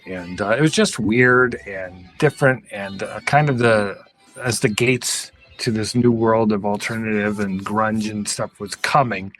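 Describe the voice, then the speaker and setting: Low-voiced man over faint music. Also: fairly bright tone. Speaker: podcast panelist. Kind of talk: casual music chat.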